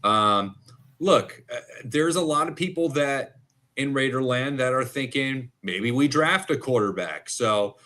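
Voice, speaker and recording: A man of middling pitch co-hosts a sports podcast. He works through his football picks slowly, thinking aloud and stopping often to restart. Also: animated, pushed and bright. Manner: thoughtful, halting with fillers. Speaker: podcast co-host and football analyst. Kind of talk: casual podcast chat.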